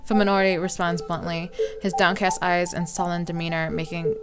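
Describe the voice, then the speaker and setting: A woman's voice: fairly low pitch, flat delivery, fairly quick. A woman hosting a let's play, reading a visual novel aloud informally, with some stumbles and game music behind her.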